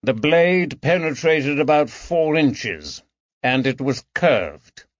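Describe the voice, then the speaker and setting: A man voicing a brisk, sardonic gentleman surgeon in an audiobook, clipped, formal and condescending. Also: a little high, expressive, no room sound, dull audio, voice pushed up. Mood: matter-of-fact. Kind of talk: audiobook character dialogue.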